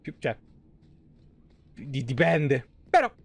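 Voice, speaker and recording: A man's voice: mid-range voice, very animated, slow, gravelly, slightly rough. A young man from Italy doing casual live gameplay commentary, playful and foul-mouthed. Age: young adult. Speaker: live game streamer.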